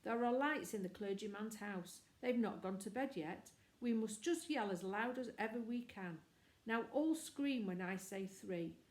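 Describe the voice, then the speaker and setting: A woman's voice: medium pitch, animated, measured pace, lightly acted. A woman voicing an urgent, bossy and plucky schoolboy leader in a storybook. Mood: urgent, planning. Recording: echoey room.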